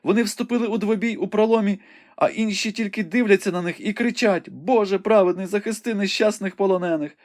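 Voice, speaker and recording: A man's voice: sits high, a little fast, pitched above the performer's own voice, natural voice. A man voicing a young woman who watches a battle from a window and cries out what she sees. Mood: urgent, fervent. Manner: breathless, exclamatory reporting. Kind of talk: audiobook reading.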